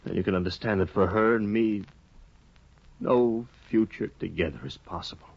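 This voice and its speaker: Eilert Lovborg, a ruined scholar. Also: male voice, lowish voice, moderate pace, vocal fry, slightly rough. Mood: despairing. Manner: brooding, bitter, swings into anguished outbursts. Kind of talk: 1950s radio drama acting. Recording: dull 1950 radio broadcast.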